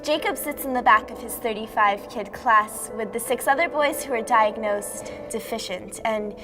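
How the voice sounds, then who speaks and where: A woman with a fairly high voice performs a slam poem. Her delivery is rhythmic and emphatic, and music plays clearly behind her. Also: normal speed. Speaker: slam poet. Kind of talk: performed spoken-word poetry.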